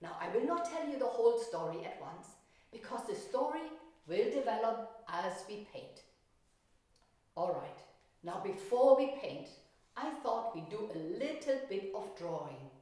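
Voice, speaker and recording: A woman's voice: mid-range voice, big pitch swings, measured pace, dark tone. Woman teaching children in a very echoey room. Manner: simple step-by-step explaining to children, with questions. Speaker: art teacher. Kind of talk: children's painting lesson with storytelling. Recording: very echoey room, distant mic.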